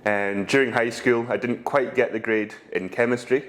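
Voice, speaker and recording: A young adult man from Scotland with a Scottish accent, answering interview questions in a relaxed way with frequent ums and pauses. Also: mid-range voice. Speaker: guest, whisky brand ambassador.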